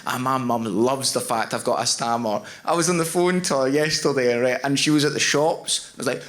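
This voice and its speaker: A Scottish man with a stammer doing an animated stand-up set in a comedy club, throwing in voices along the way. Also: mid-range voice, a little fast. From Scotland. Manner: animated storyteller who does voices. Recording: live club with crowd laughter.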